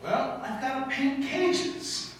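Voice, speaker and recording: A man playing a mild, nervous, polite high school teacher in old-time radio comedy style. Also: lively intonation, slow pace, heavily acted. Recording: echoey hall, distant mic.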